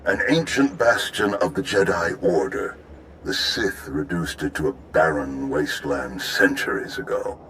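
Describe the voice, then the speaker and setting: Courtly military general for a game: very deep, expressive range, earnest, formal, measured. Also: male voice, a little hiss or hum, full character voice, rough recording. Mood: grave, measured. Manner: measured, formal, polished delivery. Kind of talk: scripted game cutscene dialogue.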